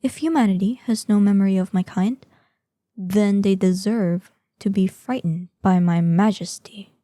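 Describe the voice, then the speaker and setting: A woman acts out an ancient dragoness for a fantasy audio drama, proud and imperious. The voice is very dark and soft-edged, recorded close and dry. Each formal, archaic phrase comes slowly and with measured weight. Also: mid-range voice, no room sound, lightly acted. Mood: proud, defiant. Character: proud ancient female dragon in human form. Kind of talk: scripted roleplay audio drama monologue.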